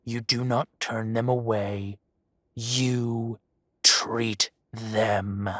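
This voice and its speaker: A menacing dragon gang boss, voiced by a man for an audio roleplay. He talks softly and condescendingly at a very slow, deliberate pace, sometimes dropping to a whisper. The mid-pitched voice is close-miked and completely dry. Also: level tone, no room sound. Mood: silky menace. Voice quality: soft-spoken, silky and condescending, drops to whispers. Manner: slow, deliberate threats with long pauses.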